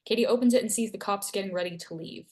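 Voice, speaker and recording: A woman's voice: mid-range voice, quick pace. A woman reading scene headings and stage directions aloud as narrator at a screenplay table read.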